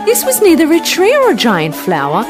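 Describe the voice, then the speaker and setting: A woman tells a scripted children's story in a highly animated voice that rises and falls widely. She narrates as a storyteller for language learners. Music plays clearly behind her. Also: moderate pace.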